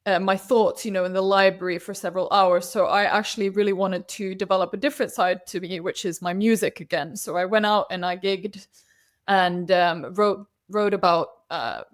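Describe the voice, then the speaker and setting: A woman's voice: mid-range voice, fairly even intonation, moderate pace. A woman from Finland, a native Swedish speaker, chatting as a podcast guest and telling anecdotes with frequent restarts. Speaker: podcast guest, CEO ghostwriter. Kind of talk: casual interview chat.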